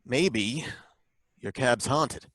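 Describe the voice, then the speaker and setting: An actor playing a suspicious, bumbling license inspector who asks halting, probing questions in a live old-time radio drama. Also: male voice, mid-range voice, fairly bright tone, no room sound.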